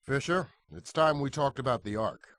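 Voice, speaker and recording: An actor playing a businesslike, authoritative intelligence chief briefing a field agent in a spy game. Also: male voice, medium pitch, moderate pace, fairly bright tone, no room sound, studio quality, lightly acted.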